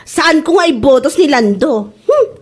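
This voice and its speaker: Actress as gossiping neighbour, Ilocano accent, comic drama, high-pitched, animated, exclamatory delivery. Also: female voice, normal speed. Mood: gossipy complaint. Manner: exclaiming, chatty. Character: excitable gossiping neighbour woman, chatty and credulous. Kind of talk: Ilocano comedy drama acting.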